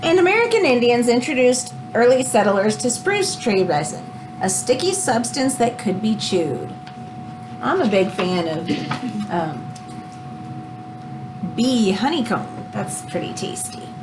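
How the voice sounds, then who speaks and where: A woman reads a children's book aloud in an expressive, teacherly way, adding explanatory asides as she goes. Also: fairly high pitch, a little slow, noisy background.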